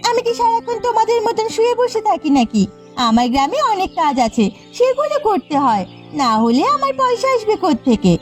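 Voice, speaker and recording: A woman voicing a brash, scheming young village woman in a cartoon, cheeky and sharp-tongued with defiant delivery. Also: very high-pitched, sing-song, a little fast. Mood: defiant, boastful. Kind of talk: cartoon dialogue. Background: faint music.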